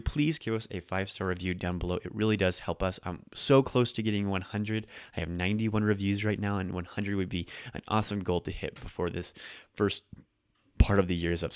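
Upbeat man teaching with a low voice. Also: no echo, clean studio sound. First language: English. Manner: upbeat, conversational explanations. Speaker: podcast host teaching Japanese. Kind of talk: casual language lesson.

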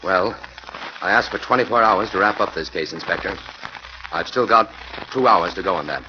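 An actor playing an earnest, resolute crime-fighting scientist hero, in 1940s radio acting. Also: male voice, sits low, moderate pace, natural voice. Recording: dull noisy 1940s radio transcription.

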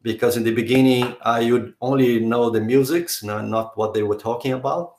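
Halting man, roomy sound. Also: mid-range voice, darkish tone. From Brazil. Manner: halting, searching for words, many restarts. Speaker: guest. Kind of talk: conversational interview. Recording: roomy echo.

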